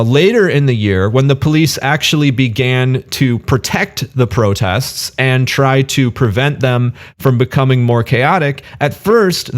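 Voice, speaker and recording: A man with a mid-pitched voice talks to viewers as a video host giving commentary. His manner is conversational and blunt, and he often poses questions as he reasons through his opinions.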